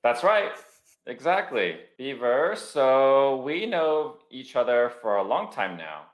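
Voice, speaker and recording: A man hosting a podcast, talking in a relaxed, conversational way while recording remotely. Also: medium pitch, slow, roomy sound. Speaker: podcast host. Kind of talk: podcast interview.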